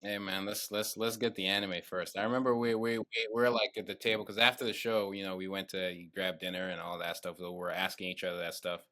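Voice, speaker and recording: A man hosting a casual podcast, animated and repeating his words as he talks. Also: sits low, brisk, hardly any pauses. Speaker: podcast host.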